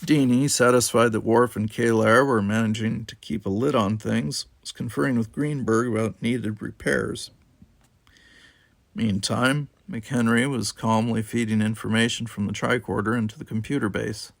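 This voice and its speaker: Gravelly man narrating an audiobook. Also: mid-range voice, fairly even intonation, normal speed, no room sound. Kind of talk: scripted fiction narration.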